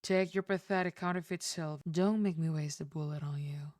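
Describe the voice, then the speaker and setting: Casting: woman as cool, evasive Western game gunslinger, commanding and terse, lower female register. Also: steady tone, moderate pace, a little mellow, dry recording, clean studio sound, natural voice. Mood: threatening. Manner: terse, aloof, probing. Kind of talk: visual novel character acting.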